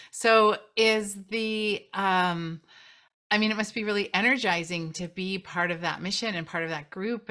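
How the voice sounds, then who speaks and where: Bright-toned woman interviewer. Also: lowish voice. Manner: restarts often, enthusiastic. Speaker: interviewer and company CEO. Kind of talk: casual interview chat.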